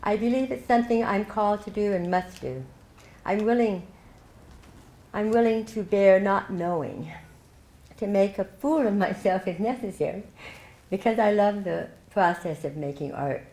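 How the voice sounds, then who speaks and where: A woman's voice: medium pitch, moderate pace, warm tone. An older woman giving a keynote speech at a club meeting, telling her story in a reflective way with light laughs. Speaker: keynote speaker. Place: meeting hall. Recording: echoey hall, faint noise.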